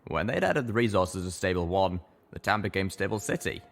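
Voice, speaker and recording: A man's voice: sits low, big pitch swings, a little fast, vocal fry, fairly bright tone, full character voice. A man voicing a jaded, sardonic century-old ghoul pony in a colt's body, rambling wearily in a storybook reading. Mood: jaded explaining.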